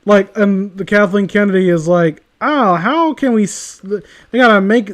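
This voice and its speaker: A man's voice: high-pitched, unhurried. A man in a casual conversation, rambling through exasperated, opinionated rants with plenty of swearing. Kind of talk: casual ranting chat.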